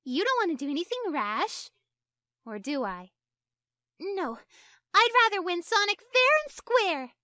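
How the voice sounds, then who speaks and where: A woman's voice: very high-pitched, sing-song, measured pace, pauses often, sharp tone, no echo, clean studio sound, full character voice. A woman voicing a jealous young female hedgehog who schemes against a rival in petty asides, with faint music behind. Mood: scheming. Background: background music.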